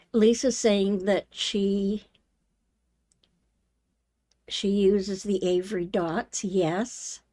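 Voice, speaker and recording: An older woman hosting a live sewing demonstration, talking in a homey, unhurried way with many ums, her voice slightly hoarse. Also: mid-range voice, a little mellow, no echo. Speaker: sewing channel host. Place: home sewing room.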